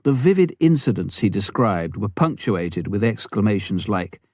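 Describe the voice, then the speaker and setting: A man's voice: on the low side, sing-song, moderate pace, a little mellow, dry recording, studio quality. A man narrating a memoir as a scripted audiobook reading.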